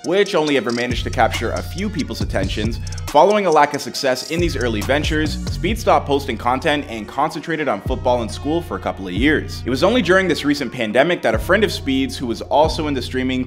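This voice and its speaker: A man reading scripted documentary narration in a brisk way, with wry asides. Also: mid-range voice. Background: music bed.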